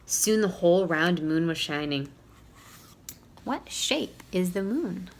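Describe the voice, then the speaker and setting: A woman reading a storybook aloud to young children, expressive and playful, in a teacherly way. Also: on the low side, slow pace. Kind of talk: read-aloud storybook narration with questions to young listeners.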